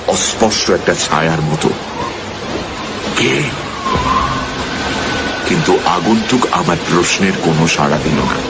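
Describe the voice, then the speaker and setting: A man narrating a ghost story in the first person, in a suspenseful, theatrical manner. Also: sits low. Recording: loud music under the voice. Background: loud music.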